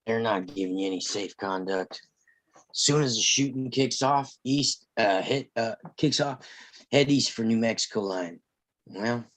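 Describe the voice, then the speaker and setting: Guy doing a tired but determined old west lawman at a table read, lowish voice. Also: measured pace, a bit bright. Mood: urgent. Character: weary, resolute Old West lawman facing death. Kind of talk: live table read of a western screenplay.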